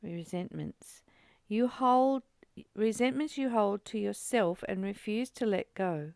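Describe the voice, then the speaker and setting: A woman reading aloud and explaining a spiritual text as the host of a study group, in a deliberate, informal teaching way. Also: mid-range voice, gravelly, a little mellow, dry recording, clean studio sound.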